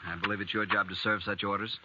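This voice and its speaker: An actor playing a cold, grasping, imperious rich moneylender in a 1950s radio western. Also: male voice, lowish voice, flat delivery, fast, close to an everyday voice. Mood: cold, curt. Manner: curt, imperious. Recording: muffled, noisy 1950s radio recording.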